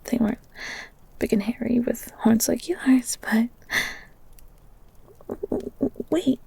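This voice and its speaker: Shy, bookish young heroine played by a woman, romantic audio roleplay. Higher-pitched, breathy, stammering delivery. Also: exaggerated voice. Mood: dreamy, shy, then startled, hurt. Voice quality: soft and breathy. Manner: stammering, halting, breathy half-whispers. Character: shy, flustered young bookish woman living in a beast's castle.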